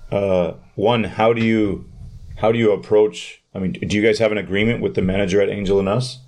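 A man with a fairly low voice hosts a casual podcast interview. He strings several questions together at once and adds his own asides about how things work. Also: steady tone, darkish tone. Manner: asks stacked rapid-fire questions. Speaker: podcast host.

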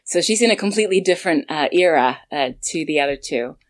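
A woman's voice: low voice, fast talker. A Swedish-born woman with a learned North American-sounding English accent, chatting casually as a guest about her audio drama, laughing often. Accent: North American-sounding English learned at international school. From Sweden. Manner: laughs often, animated, many fillers. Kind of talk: casual interview chat.

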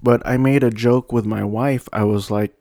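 A man's voice: mid-range voice, steady tone, moderate pace, warm tone, no echo, clean studio sound. A young man telling a personal story in a casual, self-deprecating, rambling way with frequent restarts. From United States.

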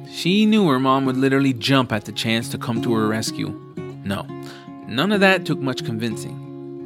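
A man delivers scripted story narration for an audio drama. His voice is medium in pitch, and music plays clearly underneath as he reads.

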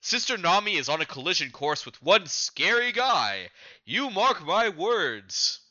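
Man as an anxious, high-pitched pirate sidekick. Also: very animated, a little slow, sharp tone, highs cut off, full character voice. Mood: alarmed warning. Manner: emphatic, melodramatic warnings. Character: blubbering, anxious, sentimental male pirate sidekick.

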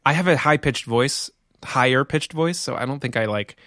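A man's voice: mid-range voice, normal speed, fairly bright tone, dry recording, clean studio sound. A man co-hosting a podcast, talking in a loose, laid-back way with casual swearing. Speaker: podcast co-host. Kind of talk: casual chat.

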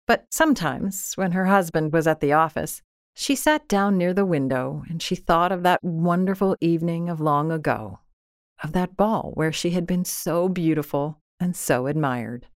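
Female storyteller for classic fiction: lowish register, deliberate expressive reading, dry recording. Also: dry recording. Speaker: audiobook narrator. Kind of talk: scripted literary storytelling.

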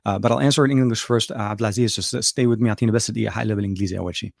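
A man's voice: on the low side, steady tone, rapid-fire, gravelly, no room sound. A middle-aged man from Lebanon hosting a casual live Q&A, talking with many fillers and restarts and answering viewers' questions. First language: Arabic. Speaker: livestream host.

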